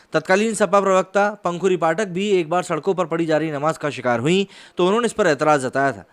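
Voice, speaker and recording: A man delivering news analysis commentary, partly scripted, in an emphatic, rhetorical manner. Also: high-pitched. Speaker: commentator presenting a video.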